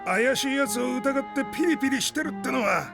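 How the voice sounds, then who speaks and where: A male actor playing a gruff, rough-spoken market townsman in an anime audio drama, blunt and excitable, with a raspy, gravelly voice. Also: very high voice, brisk, music in the background, heavily acted. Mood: relenting. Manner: blunt, rough colloquial speech.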